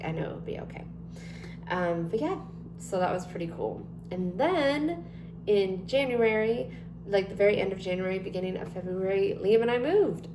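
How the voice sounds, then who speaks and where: A woman in her twenties chatting casually to camera, rambling with many ums and likes. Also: mid-range voice. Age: 20s. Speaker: vlogger. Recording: echoey room with noticeable hum.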